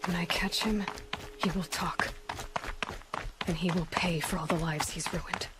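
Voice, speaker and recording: A woman's voice: fairly low pitch, fairly even intonation, a little slow, heavy background noise, close to an everyday voice. A woman playing a determined, wary and blunt young outcast huntress in a video game cutscene. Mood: grim resolve. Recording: loud music behind the voice.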